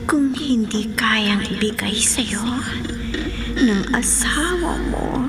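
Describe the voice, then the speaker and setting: An actress playing a mysterious, seductive female spirit in a horror radio drama, with a breathy, whispered voice. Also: on the high side, slow pace, loud music behind the voice. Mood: seductive, possessive.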